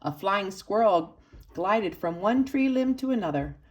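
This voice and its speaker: A woman reading a children's picture book aloud expressively, with dramatic emphasis and pauses for young listeners. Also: mid-range voice, lively intonation, highs cut off. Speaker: storybook reader for children's church.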